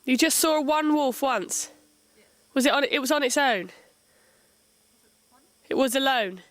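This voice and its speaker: A woman presenting a wildlife show outdoors in the cold, curious and chatty, quick to laugh. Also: sits high, expressive, a bit bright, no room sound. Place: outdoors in snowy cold country. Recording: outdoor field noise.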